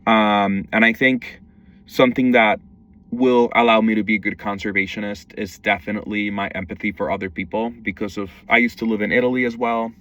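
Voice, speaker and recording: A man's voice: lowish voice, level tone, fairly quick, a bit bright, dull audio. Young man from Mexico, distant mic. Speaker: wildlife conservation educator. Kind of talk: self-introduction video, casual monologue.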